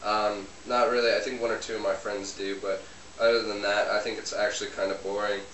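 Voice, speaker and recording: A man's voice: on the low side, little pitch movement, brisk, fairly bright tone, lightly acted. A man playing a young Polish man who talks hesitantly with many ums while he cooks in a home kitchen, recorded from a distance. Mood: relaxed. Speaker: interviewee cooking on camera. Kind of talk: amateur comedy sketch interview. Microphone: camera mic from a distance. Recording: roomy, distant, hissy home video.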